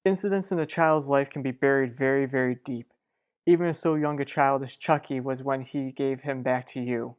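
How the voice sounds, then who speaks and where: A woman playing a frank, measured male family friend in a radio soap opera. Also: rumbling low.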